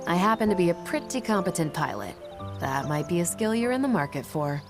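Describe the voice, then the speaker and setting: A woman's voice: fairly low pitch, big pitch swings, fairly quick, music in the background. An actress playing a confident, easygoing female ace pilot in a sci-fi video game. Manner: self-assured, easygoing. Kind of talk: video game cutscene acting.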